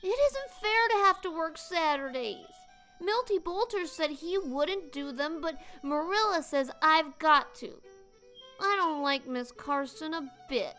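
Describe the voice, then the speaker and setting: A woman's voice: high voice, lively intonation, unhurried, sharp tone, faint music behind, pitched above the performer's own voice, full character voice, poor audio. A woman voicing a gloomy ten-year-old boy who whines and drags out his complaints. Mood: sulky.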